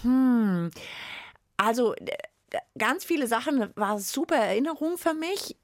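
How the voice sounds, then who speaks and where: A woman's voice: on the high side, lively intonation, measured pace, no room sound, clean studio sound. A woman co-hosting a children's science podcast in playful, casual chat.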